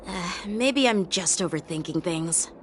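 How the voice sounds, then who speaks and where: A woman's voice: fairly low pitch, animated, measured pace, a little hiss or hum. A woman voicing an earnest young swordswoman in an anime game, proud yet polite and a little hesitant.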